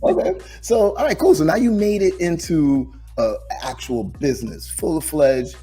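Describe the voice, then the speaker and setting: A man from Jamaica hosting a business podcast, talking in a lively, encouraging way and often restarting his sentences. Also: a little high, measured pace, husky, soft background music. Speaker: podcast host. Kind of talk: casual interview.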